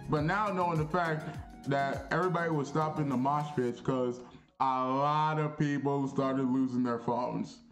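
A young man vlogging in a casual, animated, slangy storytelling way, repeating phrases for emphasis in a small bedroom. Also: mid-range voice, unhurried, echoey room, soft background music. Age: young adult.

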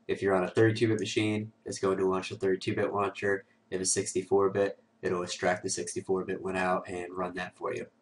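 Hoarse, low-voiced man with a cold. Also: recorded from a distance. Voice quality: raspy. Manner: casual, filler-heavy walkthrough with many "uh" and "um". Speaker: host of a security tutorial show. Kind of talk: screen-recorded software tutorial.

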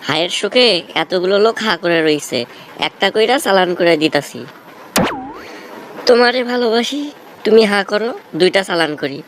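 A man's voice: sits high, lively intonation, normal speed, long pauses, fairly bright tone, low-quality recording. A man playing a cocky, theatrical young jilted village lover in a comic cartoon, with a Bangladeshi accent. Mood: teasing. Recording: noticeable background noise.

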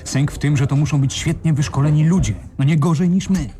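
An actor in a radio crime drama playing a sober, streetwise gang member, with murmuring scene effects behind. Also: male voice, on the high side, a little fast, crowd noise, lightly acted. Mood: grim, reasoning.

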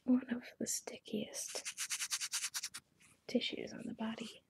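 A woman speaking in a gentle, breathy, whispery voice, slowly chatting to a client in an ASMR salon roleplay. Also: fairly high pitch, little pitch movement. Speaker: ASMR creator playing a pedicure salon worker. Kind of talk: soft-spoken ASMR roleplay.

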